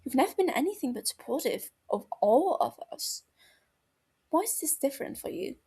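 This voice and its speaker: A calm young man from a fan-fiction audiobook, voiced by a woman. The delivery is soft, careful and placating, with vocal fry, the sound of a concerned friend gently probing. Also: high voice, normal speed, pitched above the performer's own voice. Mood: soothing.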